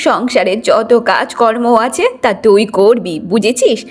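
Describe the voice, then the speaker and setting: A woman's voice: on the high side, a little slow, breathy edge, soft background music. A woman voicing a bitter, domineering old village mother-in-law in a cartoon moral story. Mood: stern. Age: older.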